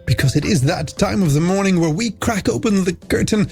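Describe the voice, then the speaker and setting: A man's voice: sits high, fairly quick. A man presenting a late-night music radio show in chatty, playful, scripted patter.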